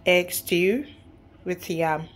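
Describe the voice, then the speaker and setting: A woman from Ghana, gently narrating a home cooking video step by step and blessing her viewers. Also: lowish voice, soft background music. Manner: gentle, unhurried, affectionate sign-off with blessings. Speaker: cooking vlogger. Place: home kitchen at the stove. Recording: sizzling frying noise behind the voice.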